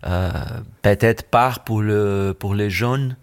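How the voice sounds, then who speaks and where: A Brazilian man speaking French with a Brazilian accent, a musician guest in a radio studio interview, pausing often to search for words. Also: lowish voice. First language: Brazilian Portuguese.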